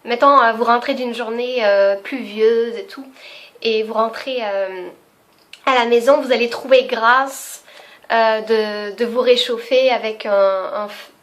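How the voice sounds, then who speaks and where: A young woman talks through her video off the cuff, in a fairly high voice. She laughs easily and fills her pauses with little hesitations, in an unscripted, chatty way. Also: fairly even intonation. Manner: chatty and spontaneous, laughs often, many fillers. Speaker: vlogger. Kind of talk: casual unscripted video chat.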